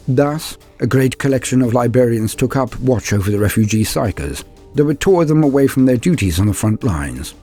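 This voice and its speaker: A man giving a grave, theatrical reading of scripted war lore as a narrator, in measured phrases. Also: on the low side, a little mellow, breathy edge. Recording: voice over background music. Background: music.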